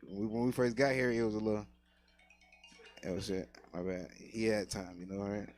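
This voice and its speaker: A man's voice: on the low side, fairly even intonation, moderate pace, a little mellow, soft background music. A man hosting a comedy show on stage, bantering in casual slangy talk.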